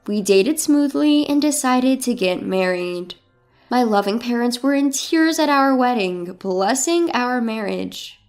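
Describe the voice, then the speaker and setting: A woman's voice: a little high, lively intonation, a little slow. A woman reading a scripted first-person drama story as its narrator. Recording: music bed behind the voice. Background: background music.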